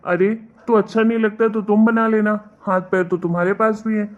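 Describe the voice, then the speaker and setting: A woman voicing an exasperated, reproachful young husband in an animated family drama, lecturing and scolding. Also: medium pitch, a little fast, dull audio, natural voice.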